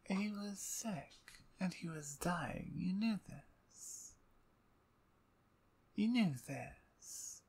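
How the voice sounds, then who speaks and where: Female vampire usurper , played by a woman, ASMR drama: low, unhurried, husky half-whispers, dominant, smug and mockingly courteous. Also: expressive, darkish tone, heavily acted. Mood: gloating, then cold, insistent. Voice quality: breathy, husky. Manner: slow, taunting, mock-courteous, breathy half-whispers. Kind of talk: ASMR audio roleplay, dramatic monologue.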